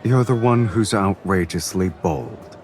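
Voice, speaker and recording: Deep slow teasing noble dude, dry n confident, romance game, soft music. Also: male voice. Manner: unhurried, dryly teasing. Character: smooth, teasing, self-assured male fantasy nobleman. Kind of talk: scripted game romance acting.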